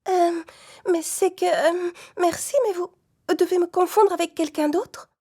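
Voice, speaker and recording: A woman's voice: very high-pitched, steady tone, unhurried, warm tone. A woman voicing a polite, earnest little girl in a children's cartoon story. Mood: hesitant, confused. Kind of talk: children's audio story acting.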